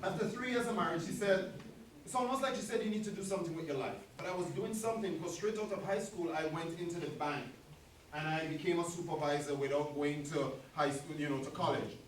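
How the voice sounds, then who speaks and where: A man's voice: fairly high pitch, fast. Trinidadian man speaking in an echoing hall. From Trinidad and Tobago. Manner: friendly, joking, talks to the room. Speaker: school principal, guest speaker. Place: community meeting hall. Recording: very echoey hall, distant mic, audible room noise.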